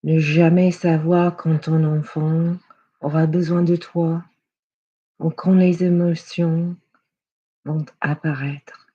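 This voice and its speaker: A person guiding a yoga nidra relaxation in a hushed, soothing, unhurried way with long pauses. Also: mid-range voice, level tone, slightly rough, muffled recording. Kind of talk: guided relaxation meditation.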